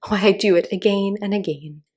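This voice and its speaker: A woman's voice: medium pitch, fairly quick, a little mellow, lightly acted. A woman voicing a boastful, testy old father, reciting rhymed verse from a children's storybook. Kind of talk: children's storybook read-aloud.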